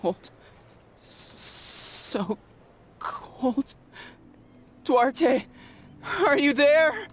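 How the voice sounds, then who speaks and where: A woman voicing a frightened, delirious warrior in a video game, halting and pleading as if drowning, shivering and tearful. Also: high-pitched, animated, slight background noise, heavily acted. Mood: delirious terror.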